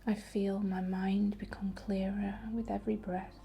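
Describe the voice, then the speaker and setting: A woman speaking in a soft, breathy whisper, gently guiding a soothing, unhurried ASMR healing ritual. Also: mid-range voice, flat delivery. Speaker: ASMR host. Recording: faint background noise.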